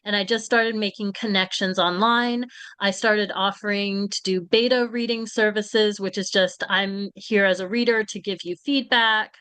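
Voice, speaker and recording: Woman, 40s, from Florida, literary agent, smooth clear voice, reflective. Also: mid-range voice, fairly even intonation, moderate pace, bright, forceful tone, dry recording. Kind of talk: conversational interview.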